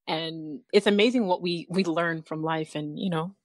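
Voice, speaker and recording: A woman's voice: low-pitched, fairly even intonation, normal speed, darkish tone, no echo, clean studio sound. A woman hosting an interview, gushing and encouraging, laughing often. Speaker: show host.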